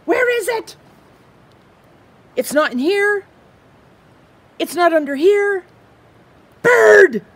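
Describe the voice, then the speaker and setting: Woman acting a shrill, frantic storybook squirrel. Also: animated, extremely slow, pauses often, no echo, full character voice. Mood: panicked, accusing. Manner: exclamatory, melodramatic, accusing. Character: frantic, possessive squirrel, easily panicked, shrill and excitable. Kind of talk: children's storybook read-aloud.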